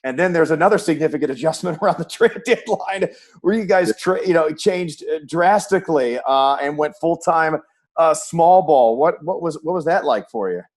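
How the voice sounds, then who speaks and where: A man's voice: fairly high pitch, animated, normal speed. A man who works as a sports broadcaster, hosting a conversational interview and posing questions.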